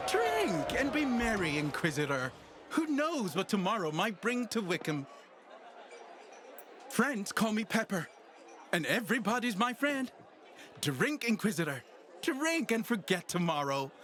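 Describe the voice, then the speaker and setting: An actor playing a merry, flirtatious tavern regular in a fantasy video game, jovial, theatrical and expansive. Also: male voice, high voice, fairly bright tone, slight background noise, heavily acted. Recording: music behind the voice.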